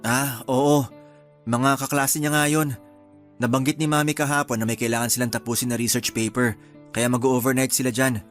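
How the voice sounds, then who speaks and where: Calm, affectionate Filipino husband, played by a man. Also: medium pitch, normal speed, soft background music, lightly acted. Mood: calm, apologetic. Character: tired, affectionate, calm young husband in radio drama. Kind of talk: Filipino radio drama acting.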